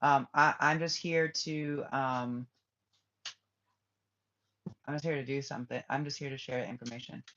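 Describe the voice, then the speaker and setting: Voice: mid-range voice, steady tone, unhurried, pauses often, sharp tone, a little hiss or hum. A spiritual reader hosting a live stream, chatting casually to viewers and answering their comments. They ramble, restart sentences and swear bluntly. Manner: trails off and restarts often. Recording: dull lo-fi stream audio.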